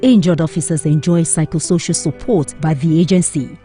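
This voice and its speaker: A news reporter delivers scripted voice-over narration in a formal, declarative way. The voice is warm, and soft music plays underneath. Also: mid-range voice, steady tone, brisk.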